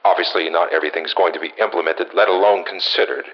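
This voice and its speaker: Man acting low-voiced Doctor Masterson, muffled recorder log. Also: fairly even intonation, fast. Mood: dry, businesslike. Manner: formal yet musing, dryly humorous. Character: gruff, wry male senior scientist, Doctor Masterson. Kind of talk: scripted in-game audio log dictation.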